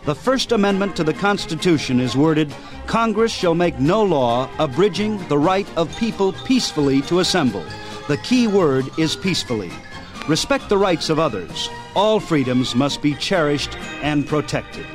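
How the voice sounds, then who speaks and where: A man's voice: on the high side, sing-song, normal speed. A man with an American accent reading scripted radio narration as a programme host. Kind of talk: scripted radio sign-off and public-service narration. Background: clear music.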